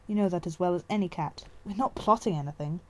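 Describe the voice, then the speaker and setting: A woman's voice: on the low side, quick pace, darkish tone, lightly acted. A woman voicing a stern young male warrior cat in an audiobook, firm and impatient.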